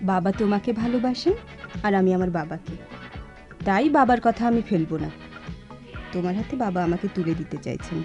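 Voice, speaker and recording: A woman's voice: medium pitch, fairly quick, long pauses, mellow, highs cut off, faint music behind, natural voice. An actress playing a proud, stubborn young rich man's daughter in a Bengali radio drama, haughty and insistent. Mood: haughty, indignant.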